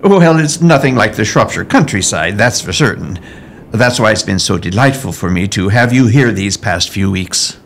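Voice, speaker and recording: A man playing a genial, reassuring Victorian gentleman in a period audio drama, with polite old-fashioned phrasing. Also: lowish voice, expressive, normal speed, a little hiss or hum. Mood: genial, evasive.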